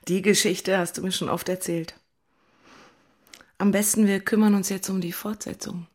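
An actress playing a moody, emotional woman in her forties in a radio drama, with a husky voice. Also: medium pitch, steady tone, normal speed, darkish tone, close to an everyday voice. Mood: intimate, teasing. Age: 40s. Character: emotional, moody single woman in love affair. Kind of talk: German radio drama dialogue.

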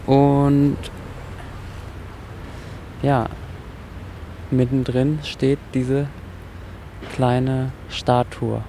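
Slow, halting man with a dark voice. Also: medium pitch, little pitch movement, a little hiss or hum, rough recording. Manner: halting, in short broken phrases. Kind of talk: unscripted, reflective talk.

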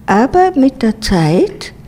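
A woman's voice: fairly high pitch, sing-song, slow pace, warm tone. A woman in her eighties from Istanbul, answering in careful, old-fashioned speech. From Istanbul, Turkey. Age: 80s. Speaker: interviewee, care home resident. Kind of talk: interview answers.

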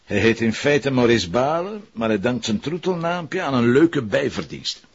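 Sardonic Flemish police commissioner, lively intonation, probing and ironic, audio drama, slightly muffled recording. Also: male voice, mid-range voice, moderate pace, husky. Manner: ironic, interrogating. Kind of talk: naturalistic audio drama dialogue. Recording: dull, lo-fi broadcast copy.

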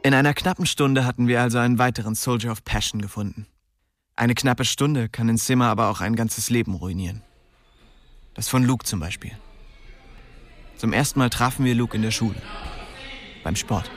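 An actor plays a young man narrating a radio drama with wry, slangy ease. His voice sits at a medium pitch. Music plays underneath as he tells of his small-town youth. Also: moderate pace, long pauses, natural voice. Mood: wry storytelling. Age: young adult. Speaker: narrator and main character.

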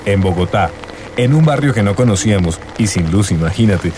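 A man's voice: lowish voice, lively intonation, fairly quick, music in the background, natural voice. An actor playing an earnest young man in love, searching and telling his story in a radio drama. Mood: wistful, earnest.

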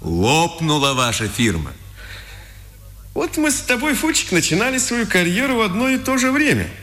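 Smug, high-pitched interrogating officer in echoing hall. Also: male voice, wildly swooping pitch, normal speed, sharp tone, heavily acted. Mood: smug persuasion. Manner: condescending, taunting. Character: smug, threatening male Nazi police officer interrogator. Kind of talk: 1950s Soviet radio drama acting. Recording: old radio recording, long hall reverb.

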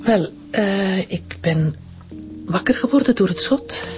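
An actress with a Flemish accent playing a cool, controlled widowed doctor. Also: female voice, fairly low pitch, natural voice. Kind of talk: radio drama acting.

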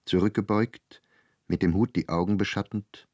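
An actor as the composed, gently ironic narrator of a radio play, reading literary prose. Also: male voice, lowish voice, steady tone, normal speed, slightly rough, no echo, clean studio sound. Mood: measured, wry.

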